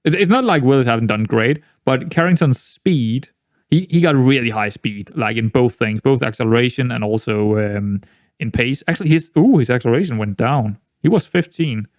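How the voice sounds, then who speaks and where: A young man with a Danish accent, a native Danish speaker, chatting casually through a football management game, rambling with many restarts and jumping into excited outbursts. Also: medium pitch, moderate pace, no room sound, studio quality. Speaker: gaming YouTuber.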